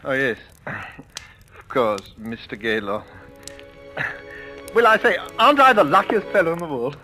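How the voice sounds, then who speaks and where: Gushing, high-voiced young actor. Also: male voice, sing-song, measured pace, pushed and bright, soft background music, heavily acted, poor audio. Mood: eager, gushing. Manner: effusive, genteel. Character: gushing, polite young male stage actor. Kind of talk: old-time radio acting. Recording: vintage radio recording.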